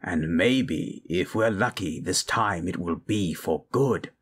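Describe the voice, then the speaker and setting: A man's voice: deep voice, slow, husky, exaggerated voice. A man playing a grave, ancient lich who speaks in solemn, formal phrases in a fantasy audio roleplay. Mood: grave, intent. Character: grave ancient male lich, stern and scheming mentor.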